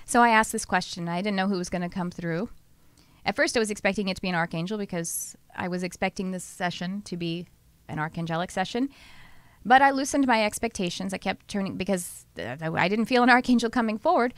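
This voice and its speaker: Texan woman, podcast host, casual lively chat, frequent laughs, middle pitch. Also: dry recording. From Southern US. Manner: lively, laughs often, talks in quick bursts.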